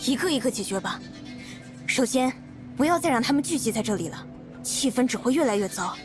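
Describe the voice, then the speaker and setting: An actress playing a confident, decisive leader in an anime game, with a brisk, commanding manner. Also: female voice, a little high, animated, over music, natural voice. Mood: resolute. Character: confident, decisive female leader rallying her people. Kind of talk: scripted anime game voice acting.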